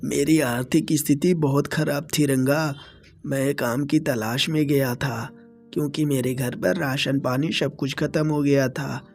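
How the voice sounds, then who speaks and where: A man's voice: mid-range voice, fairly even intonation, a little slow, gravelly, a little mellow, faint music behind. A man voicing a troubled, poor young villager seeking work in a moral-story cartoon, earnest and pleading. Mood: worried, pleading.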